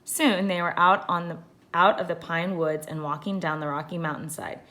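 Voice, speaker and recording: A woman, a teacher, reading a children's adventure story aloud with deliberate, expressive emphasis. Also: lowish voice.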